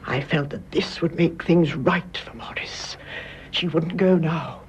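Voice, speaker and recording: An actress playing a calm, devoted elderly British mother in a radio drama, speaking in a measured, genteel and frank way. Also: deep voice, in a whisper. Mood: hushed, tender. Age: older. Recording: dull, noisy radio drama recording.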